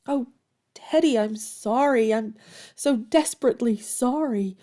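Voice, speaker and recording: Actress as spirited young woman, highish, soft-toned, earnest, candid, period audio drama. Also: unhurried, natural voice. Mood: pained, pleading.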